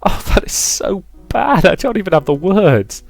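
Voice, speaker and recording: A man voicing a bossy, warm-hearted, tipsy young woman from a visual novel, read aloud. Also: high-pitched, big pitch swings, moderate pace, vocal fry, pitched above the performer's own voice, exaggerated voice. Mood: teasing.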